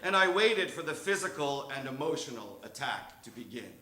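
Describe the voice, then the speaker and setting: A man reading a comic personal story live on stage in a theatrical, measured way. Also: medium pitch, very animated, pushed and bright. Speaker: reader of a humorous memoir story. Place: theatre stage before an audience. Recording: echoey live stage recording.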